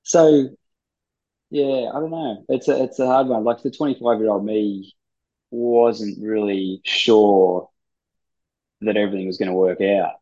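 A man talking in a laid-back, rambling way, swearing casually during a relaxed interview. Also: on the low side, measured pace. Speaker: gym founder and ice hockey player, guest. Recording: dull lo-fi sound.

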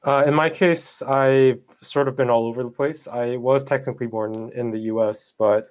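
Young man from South Korea, twenties, mid-pitched, measured and reflective. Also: fairly even intonation, a little mellow. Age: 20s. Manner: measured, with fillers. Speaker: guest. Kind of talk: casual conversation.

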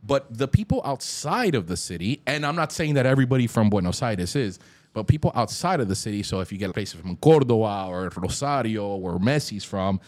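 A man from Miami, a podcast guest, telling stories in an animated, emphatic way, full of slangy asides. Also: fairly low pitch, a little fast. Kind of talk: casual chat.

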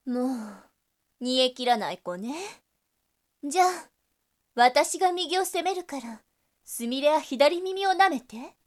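A woman's voice: sits high, lively intonation, takes it slowly, long pauses. A woman playing a brazen, seductive succubus in a voice drama, flirtatious and playfully teasing. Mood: teasing, impatient. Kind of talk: Japanese situation voice drama acting.

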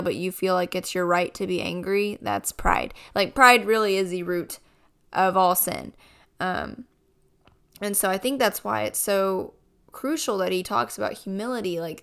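A woman hosting a casual devotional podcast, her voice a bit raspy as she gets over a cold, speaking in an earnest, reflective way. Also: medium pitch, fairly bright tone. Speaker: podcast host.

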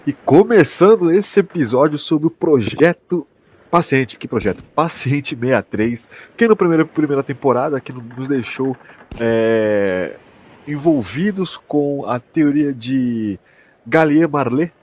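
A man with a Brazilian accent hosting a casual podcast discussion, slangy and halting as he explains. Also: mid-range voice, animated, darkish tone, a little hiss or hum. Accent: Brazilian Portuguese. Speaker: podcast host.

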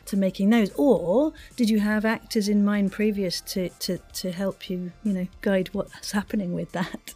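A woman hosting a casual interview podcast, effusive and quick to interject. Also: mid-range voice, fairly even intonation, warm tone, husky, faint music behind. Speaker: podcast host.

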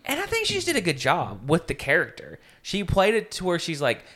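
A man's voice: fairly high pitch, a little fast. A man co-hosting a casual podcast, chatting and teasing his co-host while reviewing a TV comedy. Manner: chatty, teasing, lively intonation. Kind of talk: casual chat reviewing a TV show.

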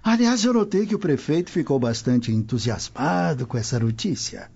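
An actor with a Brazilian accent playing an aging, self-assured Roman aristocrat husband in a radio soap opera, speaking formally and articulately. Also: male voice, mid-range voice, animated, normal speed, creaky, a little mellow, slightly rough. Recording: dull, band-limited audio.

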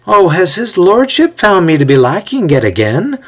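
A man's voice: medium pitch, big pitch swings, brisk, sharp tone, slightly rough, voice pushed up, heavily acted. A man voicing a grumpy, out-of-shape man in an audio storybook, exasperated and full of sarcastic retorts. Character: bent-over wheezing man, grumpy and sarcastic.

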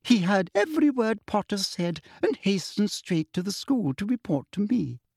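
A man's voice: on the high side, sing-song, normal speed, a little mellow, husky, dry recording, clean studio sound, pitched above the performer's own voice, exaggerated voice. Man doing a sickly-sweet smug woman official. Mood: sugary smug. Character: prim, sugary middle-aged woman official, smug.

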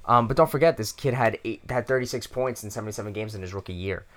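Low-voiced man, easygoing sports co-host. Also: quick pace, a bit bright. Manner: agreeable, filler-heavy, repeats himself. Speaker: podcast co-host. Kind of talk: casual sports chat.

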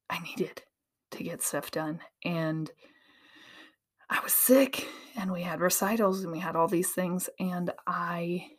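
Young adult woman, podcast host, hoarse and recovering, chatty and self-teasing. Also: on the low side. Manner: chatty and self-teasing, addressing listeners directly. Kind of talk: casual motivational podcast chat.

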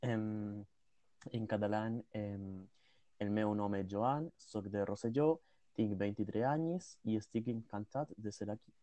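A man's voice: on the low side, dark tone, no room sound. A young man playing a male tour guide who shows off his languages, hesitating with many filler sounds in a role-played interview. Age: 20s. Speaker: mock interviewee.

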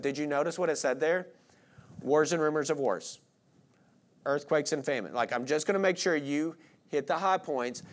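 Conversational male preacher, higher-pitched voice. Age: late 30s. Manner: informal, self-deprecating, rhetorical questions to listeners. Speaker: pastor preaching. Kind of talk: expository sermon, conversational.

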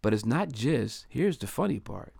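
Gravelly upbeat man hosting a podcast. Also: medium pitch, big pitch swings, normal speed, dry recording, studio quality. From Delaware. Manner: upbeat, chatty, slangy shout-outs. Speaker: podcast host. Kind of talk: casual solo podcast monologue.

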